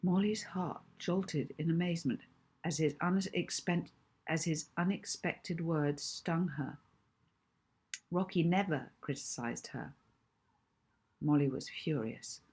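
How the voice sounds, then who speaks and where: A woman author reading her own children's book aloud in an expressive storytelling style. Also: low-pitched, takes it slowly, breathy edge. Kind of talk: expressive children's audiobook narration.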